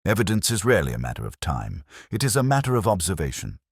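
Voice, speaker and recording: Male narrator as audiobook gentleman detective, very deep voice, coolly analytical, precise deductions, dry close sound. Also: huge pitch swings, fast talker, husky, no room sound, heavily acted. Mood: assured. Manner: precise, formal, musing deductions.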